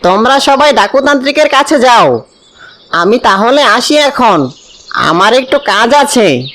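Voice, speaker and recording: A man's voice: extremely high pitch, big pitch swings, measured pace, bright, forceful tone, full character voice. A man voicing a brave, indignant kite bird in a cartoon, speaking with urgent defiance. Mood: earnest. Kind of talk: cartoon voice acting.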